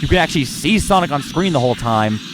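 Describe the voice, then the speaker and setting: Higher-pitched guy rambling over game music. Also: expressive, fast, poor audio. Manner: rambling, repeats and corrects himself mid-sentence. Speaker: let's play narrator reviewing gameplay. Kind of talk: casual gameplay commentary. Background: game music under the voice.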